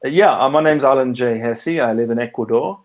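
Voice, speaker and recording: A man's voice: medium pitch, fairly quick, lo-fi sound. A man, an author and conservation biologist from a British and French background, talks casually on a live video call, with many fillers and restarts. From half British, half French.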